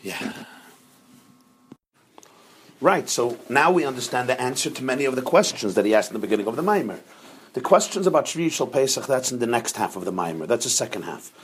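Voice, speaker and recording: A rabbi teaching a class on a religious text, earnest and emphatic, who repeats phrases for stress and sprinkles in Hebrew and Yiddish terms. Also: male voice, mid-range voice, big pitch swings, fairly quick, pauses often, slight background noise. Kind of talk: explaining a religious text to students.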